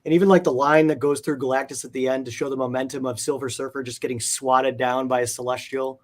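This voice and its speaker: Male interview guest, medium pitch, enthusiastic hobbyist collector, conversational and rambling, lots of "like". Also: little pitch movement, fast talker. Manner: rambling, peppers talk with "like". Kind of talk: casual enthusiastic chat about comic art.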